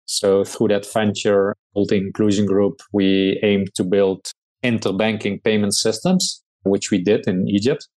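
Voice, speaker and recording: A middle-aged man from the Netherlands with a Dutch accent, a startup founder answering interview questions in a measured way with frequent hesitations. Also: on the low side, level tone, a little mellow, low-quality recording.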